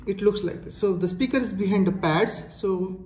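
A woman with an Indian accent giving a casual hands-on product demonstration in a halting, explanatory manner. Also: mid-range voice, a little mellow. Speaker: product reviewer. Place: indoors, tiled-floor room. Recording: echoey hard-floored room.

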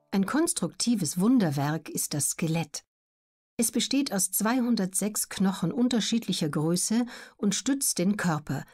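A woman reading scripted documentary audiobook narration in a measured, factual manner. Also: on the low side, darkish tone.

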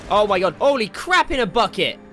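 A man giving casual, excitable commentary while playing a video game, jokey and slangy, with exclamations and swearing. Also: high-pitched, a little fast. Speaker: gaming video host. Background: game music.

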